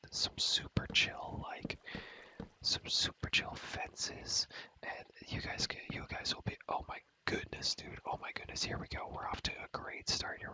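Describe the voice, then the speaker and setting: Young man, ASMR whisper, very bright and hissy, breathy, slangy casual gaming chat, repeated phrases. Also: rough recording. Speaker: ASMR video creator.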